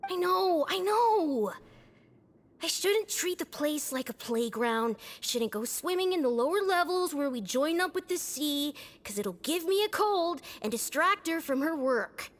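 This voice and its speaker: Young boy patient, whiny and restless, high lively read voiced by a woman. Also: unhurried. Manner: whiny, complaining.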